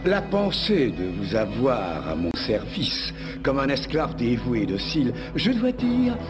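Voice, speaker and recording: An actor playing a scheming, theatrical villain in a dubbed cartoon, pompous and full of mock courtesy. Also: male voice, medium pitch, wildly swooping pitch, unhurried. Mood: gloating admiration. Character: scheming villain, suave, theatrical, gloating male tyrant. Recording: music under the voice.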